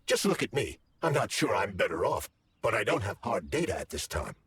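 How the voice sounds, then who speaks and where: A man's voice: on the low side, sing-song, fairly quick, fairly bright tone, slight background noise. A man playing a sly scientist turned into a talking blob, theatrical and wry, in a video game cutscene. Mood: sly, measured. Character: wry, scheming male scientist turned into polymer goo.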